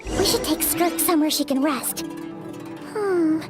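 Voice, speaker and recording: An extremely high-voiced little fairy sidekick from a video game, squeaky and childlike. She is cheeky, excitable and talkative, with faint music behind her. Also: unhurried. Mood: curious, eager. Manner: refers to self in third person, exclamatory. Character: Paimon, tiny childlike chatty fairy companion, excitable and cheeky. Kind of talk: animated video game dialogue.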